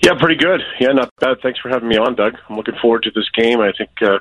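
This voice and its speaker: A man, a radio play-by-play broadcaster, giving conversational sports analysis as an interview guest in a measured, explanatory way. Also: medium pitch, fairly bright tone, dry recording, dull audio.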